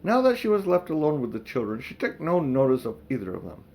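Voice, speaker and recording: A man's voice: medium pitch, wildly swooping pitch, fast, gravelly, darkish tone. Older man reading a children's story. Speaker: audiobook reader.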